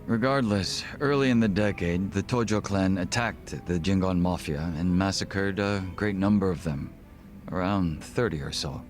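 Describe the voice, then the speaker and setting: Man acting deep, suave, guarded gangster lieutenant. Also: soft background music, natural voice. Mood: grave, measured. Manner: formal, polite, measured, wry. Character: suave, guarded male second-in-command of a Korean gang. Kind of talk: English video game voice acting.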